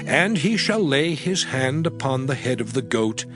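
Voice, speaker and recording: Expressive male Bible narrator over music. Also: mid-range voice, a little slow. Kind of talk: dramatized scripture reading, scripted narration. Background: music under the voice.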